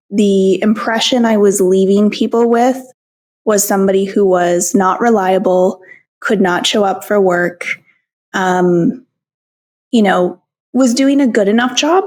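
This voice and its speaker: A woman speaking as a podcast guest, open and thoughtful, with frequent ums. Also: mid-range voice. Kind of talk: casual interview conversation.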